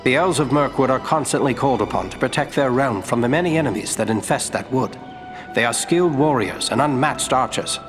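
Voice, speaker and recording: Fantasy game heir-to-the-throne ranger, male actor: sincere, dignified, measured, long reverb, faint music underneath. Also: medium pitch, lively intonation, natural voice. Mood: earnest. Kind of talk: fantasy game dialogue acting.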